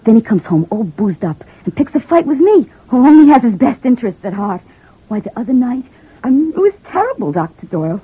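An actress playing a scheming working-class wife in an old-time radio crime drama, talking in streetwise slang and sweetly feigning victimhood. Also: female voice, fairly high pitch, big pitch swings, fairly quick, slightly rough, heavily acted. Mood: plaintive, fretful. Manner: streetwise slangy, ungrammatical talk. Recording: muffled, phone-like vintage radio recording.

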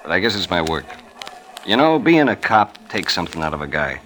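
A hardboiled New police detective in an old radio drama. His voice is deep and his talk clipped, full of weary suspicion and insinuation. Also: very animated, a little fast, fairly bright tone, slight background noise. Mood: probing. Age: 30s. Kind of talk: 1950s hardboiled radio acting.

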